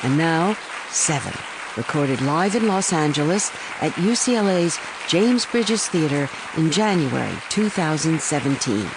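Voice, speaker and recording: A woman's voice: sits low, expressive, a little slow, a crowd in the background, background noise. A woman announcer reading a scripted introduction to an audio drama.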